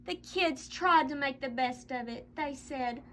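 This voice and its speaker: A woman reading a children's picture book aloud as a storyteller, with expressive, dramatic delivery and drawn-out emphasis. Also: high voice, a little hiss or hum.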